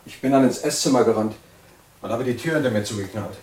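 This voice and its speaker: An actor playing an anxious, paranoid male mental patient confessing his story in a halting, brooding way in an audio drama. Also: medium pitch, a little mellow, slight background noise, close to an everyday voice. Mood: haunted.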